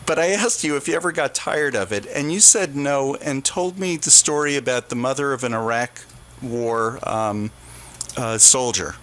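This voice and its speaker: A man hosting a conversational radio interview, asking questions in a somewhat halting way. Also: mid-range voice, a bit bright. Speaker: radio host. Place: radio studio.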